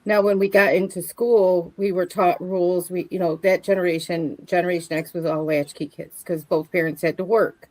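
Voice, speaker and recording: Middle aged lady co-hosting a stream, kinda low voice, just chatting. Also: fairly even intonation, normal speed, hardly any pauses, a little mellow, low-quality recording. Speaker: livestream co-host. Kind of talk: casual chat.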